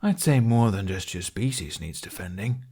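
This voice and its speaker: Man playing a gravelly, sardonic male dragon. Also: lowish voice, lively intonation, a little mellow. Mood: dry. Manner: dry, blunt, deliberate retorts.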